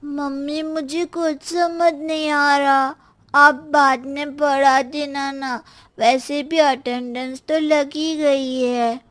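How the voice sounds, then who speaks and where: A woman plays a cheeky young schoolboy in a cartoon. The voice is very high and the words come slowly, dragged out in a lazy, smart-alecky drawl. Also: level tone, fairly bright tone. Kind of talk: Hindi cartoon story dialogue.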